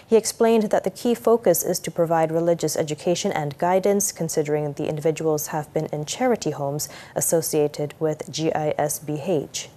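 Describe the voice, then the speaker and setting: A woman news anchor with a Malaysian accent reads from a script. Her voice sits a little low. The delivery is formal and measured, as in a television bulletin. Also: fairly even intonation, dark tone. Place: TV news studio.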